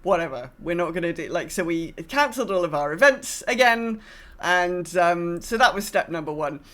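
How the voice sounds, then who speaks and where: A woman's voice: lowish voice, lively intonation, normal speed, a bit bright. A woman co-hosting a gaming podcast in casual chat, rambling and often breaking off mid-sentence. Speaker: podcast co-host.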